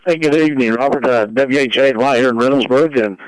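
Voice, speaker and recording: A man's voice: mid-range voice, a little fast, clean tone, no room sound, rough recording. A man, a ham radio operator, chatting casually as he takes his turn on the net. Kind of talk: radio check-in and casual chat.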